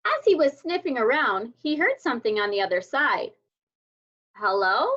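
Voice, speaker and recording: A woman reading a children's picture book aloud as a storyteller, with expressive, deliberate delivery. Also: high voice. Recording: dull lo-fi sound.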